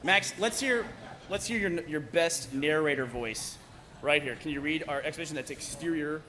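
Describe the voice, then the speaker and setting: Higher-voiced man hosting a live event. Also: moderate pace, bright, forceful tone, poor audio. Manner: playful, teasing. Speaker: host of a live table read. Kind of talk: live stage hosting with audience banter. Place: on stage before a live audience. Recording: live stage PA with clear background noise.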